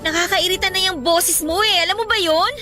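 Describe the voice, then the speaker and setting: A woman's voice: very high voice, a little fast, pushed and bright, soft background music. A woman playing an irritable, anxious young pregnant girlfriend in a Filipino radio drama, emotional and accusing. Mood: irritated, anxious.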